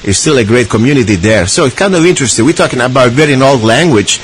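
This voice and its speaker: A man's voice: mid-range voice, lively intonation, fast, slight background noise. A man hosting a radio talk show, chatting casually with many restarts and fillers.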